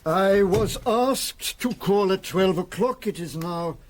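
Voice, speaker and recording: A supercilious British lawyer in a radio play. His voice sits a little high for a man. He speaks in educated, formal phrases with pointed precision and haughty polish. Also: slow. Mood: indignant. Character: haughty, smooth-talking male criminal lawyer. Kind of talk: radio drama acting.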